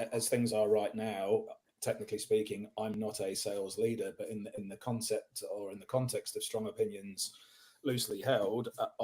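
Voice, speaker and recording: A man's voice: lowish voice, darkish tone. Chatty man with English-Kiwi hybrid accent. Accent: mixed English and New Zealand. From England. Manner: self-deprecating, many ums and restarts, clears throat often. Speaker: podcast guest, tech salesperson. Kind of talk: casual interview talk.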